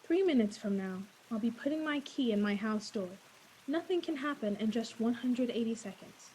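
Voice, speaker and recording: A woman's voice: on the high side, expressive, moderate pace, mellow, lightly acted. A woman playing a frightened young woman alone at night, talking anxiously to herself in a theatrical old radio drama style. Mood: uneasy resolve. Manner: anxious self-talk, theatrical. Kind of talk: 1940s radio play acting. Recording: hissy, noisy recording.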